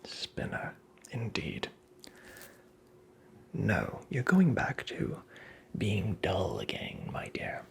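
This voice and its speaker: A performer voicing a creepy, taunting fairy-tale imp in a whispered ASMR roleplay, with breathy, gravelly, theatrical, mockingly courteous delivery. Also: sits low, wildly swooping pitch, drawn-out words, long pauses, pitched below the performer's own voice, heavily acted. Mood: mocking, indignant.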